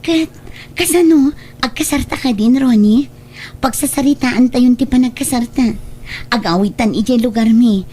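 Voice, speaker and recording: A woman playing a tender, pleading young woman wronged by her lover, in slow, emotional radio drama style. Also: fairly high pitch, dark tone, breathy edge. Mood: puzzled, shocked.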